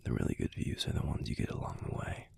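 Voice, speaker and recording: A man playing a gruff but tender young werewolf boyfriend in an intimate audio roleplay, with an American accent and a soft, husky, laid-back murmur. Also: slight background noise, lightly acted. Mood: relaxed, soft. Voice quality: breathy, husky, gravelly low voice. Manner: laid-back, murmured, halting, casual swearing. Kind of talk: intimate audio roleplay, speaking softly to the listener.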